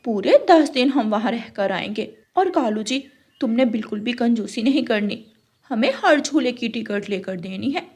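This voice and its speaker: A woman's voice: on the high side, lively intonation, moderate pace, a little mellow, husky. A woman voicing a bossy, demanding female crow in a cartoon. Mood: excited.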